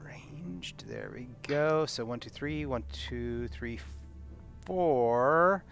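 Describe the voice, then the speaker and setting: A man of average pitch plays a tabletop roleplaying game. He talks casually, working out his turn aloud, with faint music in the background. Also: very slow, vocal fry. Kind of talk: casual chat while playing. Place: live online game stream.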